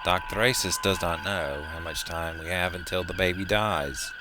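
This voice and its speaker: A man playing a steady, commanding starship captain in a sci-fi audio drama. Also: sits low, normal speed, over loud music, lightly acted. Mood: composed, authoritative.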